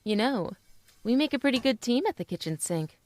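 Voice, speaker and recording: A woman playing a gentle, earnest young widowed mother in an old-time radio drama. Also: on the high side, expressive, brisk, no echo, lightly acted. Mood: tender, light.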